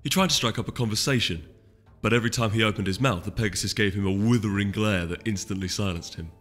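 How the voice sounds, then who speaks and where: Casting: male audiobook reader, bright expressive storytelling, music bed. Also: fairly low pitch, fast. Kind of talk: scripted story narration. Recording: echoey hall reverb, music behind.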